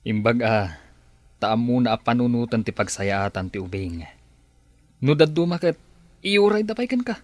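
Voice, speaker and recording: A man's voice: medium pitch, lively intonation, moderate pace, pauses often, no echo, lightly acted. A man acting a persuasive, scheming boss in an Ilocano radio drama, with an Ilocano Filipino accent. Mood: persuasive, casual.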